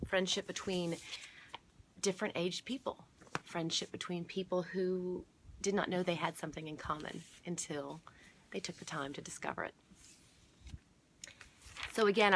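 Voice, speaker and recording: A middle-aged woman hosting a children's storytime, reading a picture book aloud and pausing to point out details for young viewers. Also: fairly low pitch, a bit bright, slight background noise. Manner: explanatory, inviting listeners to look closely and notice details. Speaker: children's storytime host at a museum.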